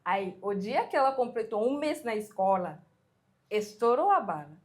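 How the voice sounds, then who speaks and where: A woman's voice: medium pitch, very animated, normal speed. A woman with a Haitian accent, speaking earnestly about her child's schooling. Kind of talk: documentary interview.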